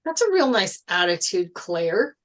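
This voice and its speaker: A woman reading the part of an indignant, challenging high school athlete boy in a film script table read. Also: very animated, unhurried, fairly bright tone.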